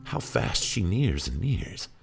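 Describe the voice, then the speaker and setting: Man playing a haunted sailor, theatrical gravelly cries. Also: sits low, sing-song, takes it slowly, voice pushed up, full character voice. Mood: fervent, desperate. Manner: theatrical, crying out, swooping upward. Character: haunted old sailor, fervent and tormented, crying out. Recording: music under the voice.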